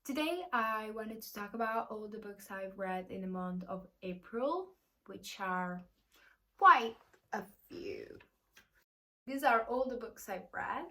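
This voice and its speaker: A young woman with an Italian accent talks through her monthly reads at a slow, easy pace. English is not her first language, so she hesitates and hunts for words, with plenty of fillers. Her pitch sits at an ordinary middle height. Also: animated, bright, forceful tone. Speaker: book vlogger. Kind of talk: casual book review vlog.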